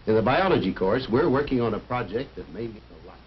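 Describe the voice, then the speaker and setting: Man acting as fatherly 50s school film coach, explains things earnestly, very animated, noisy muffled old soundtrack. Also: medium pitch, fast, close to an everyday voice. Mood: encouraging. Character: firm, fatherly male coach and biology teacher, lecturing. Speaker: coach and biology teacher. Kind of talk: scripted 1950s educational film acting.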